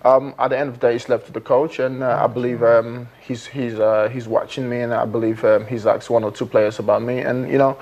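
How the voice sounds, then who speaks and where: A man in his twenties from Nigeria, a footballer being interviewed in a TV studio, who talks in halting phrases full of fillers and restarts. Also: medium pitch, flat delivery, darkish tone, highs cut off. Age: 20s. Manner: hesitant, many fillers and restarts. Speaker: guest footballer.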